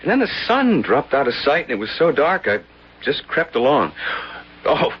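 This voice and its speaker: An actor playing a friendly, chatty young husband, a traveller, in a radio drama. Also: male voice, on the high side, very animated, normal speed, husky. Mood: affable, chatty. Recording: muffled old radio broadcast.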